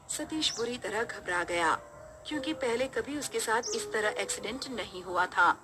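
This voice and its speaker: A woman's voice: on the high side, background noise. A woman narrating a scripted horror story.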